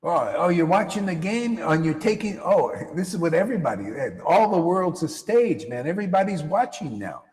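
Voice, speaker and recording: A man giving a lively spiritual talk to a live audience, slangy and repetitive, often calling listeners "man". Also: high-pitched, moderate pace, few pauses, big-room sound. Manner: animated, slangy, repeats phrases, calls listeners "man". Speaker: spiritual teacher. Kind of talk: casual live-stream talk.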